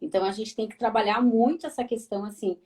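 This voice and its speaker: Brief: gaúcha woman therapist hosting a live stream, fairly high voice, lively, addresses the audience directly. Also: fast talker. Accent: southern Brazilian gaúcho. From Rio Grande do Sul, Brazil. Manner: lively, addresses viewers directly, frequent tag questions. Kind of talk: conversational live talk.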